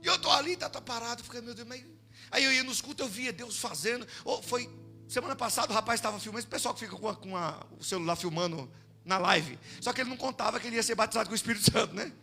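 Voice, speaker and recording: Brazilian male pastor, very high and piercing voice, animated preaching over music. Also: fast talker, vocal fry. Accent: Brazilian Portuguese. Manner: animated, swings from shouting to hushed storytelling. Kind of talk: sermon with storytelling. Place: church service.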